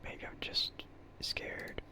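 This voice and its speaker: A livestream host speaking in a soft breathy whisper, chatting in a rambling, hesitant, self-deprecating way in ASMR style. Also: takes it slowly.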